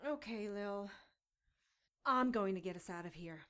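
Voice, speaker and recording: A woman's voice: mid-range voice, unhurried, bright, forceful tone, smooth. An actress playing a brash, defiant captive witch in an audio drama, sassy and emphatic. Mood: determined, frustrated. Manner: emphatic, hot-headed, sassy.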